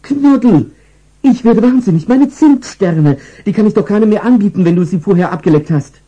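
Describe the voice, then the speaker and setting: A man's voice: fairly high pitch, wildly swooping pitch, fairly quick, dark tone, slightly rough, highs cut off, voice pushed up, full character voice. A man voicing a flustered housewife who scolds her dog in an exasperated way, in a storybook reading. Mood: frantic.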